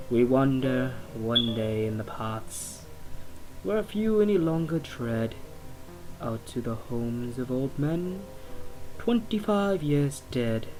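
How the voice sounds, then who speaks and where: A man reads dark rhymed verse as a horror narrator, taking his time with each phrase. His delivery is dramatic and theatrical, at an ordinary male pitch. Plucked guitar music plays clearly behind him. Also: low-quality recording.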